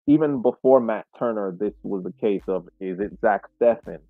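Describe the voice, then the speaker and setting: An American man with a fairly low voice comes through thin, phone-like audio with little room sound. He gives casual sports analysis as a podcast co-host, reasoning point by point in a measured way. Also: no echo.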